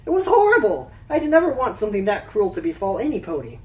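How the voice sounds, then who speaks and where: A woman acting as an excitable, scatterbrained prankster pony, flighty and playful, in a cartoonish radio play. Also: medium pitch, fast talker, far from the mic, lightly acted. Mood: offhand.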